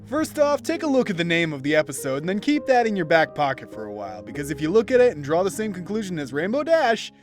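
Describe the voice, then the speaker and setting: High-pitched, animated man reviewing over music. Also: fast, few pauses. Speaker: video reviewer, host. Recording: background music behind voice. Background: instrumental music.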